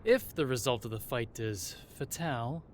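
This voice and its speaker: An actor playing a cheeky mob referee in an audio drama, with a showy, flippant announcer's delivery. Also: male voice, mid-range voice, measured pace, slight background noise, lightly acted. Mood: gleeful, flippant. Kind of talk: audio roleplay drama acting.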